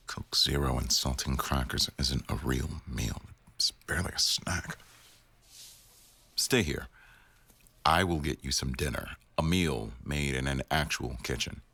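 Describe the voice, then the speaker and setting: A man playing an attentive, dry-witted male news boss in an intimate boyfriend ASMR roleplay, speaking in a measured, gently teasing way. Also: extremely low pitch, full character voice. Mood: caring, gently firm. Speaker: audio roleplay performer.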